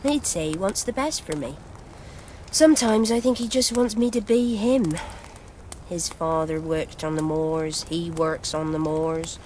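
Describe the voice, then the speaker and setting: An actress playing an earnest young woman searching for her father and longing for an exciting life. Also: low voice, a little slow, slight background noise. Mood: wistful. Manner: earnest, wistful. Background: game score music.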